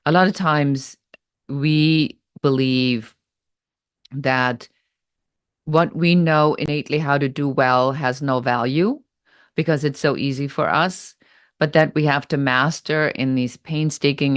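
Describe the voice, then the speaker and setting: A woman from Germany with a German accent, answering interview questions in a deliberate, explanatory way. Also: rumbling low, no echo, clean studio sound. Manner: deliberate, explanatory, pace shifts between slow and quick. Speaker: business strategist guest.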